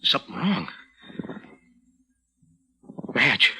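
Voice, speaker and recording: An actor playing an agitated crook under pressure in an old radio drama, speaking in urgent, clipped, commanding phrases. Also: male voice, mid-range voice, sing-song, drawn-out words, gravelly, full character voice. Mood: grim, tense. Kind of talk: 1940s radio acting. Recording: old radio recording, faint noise.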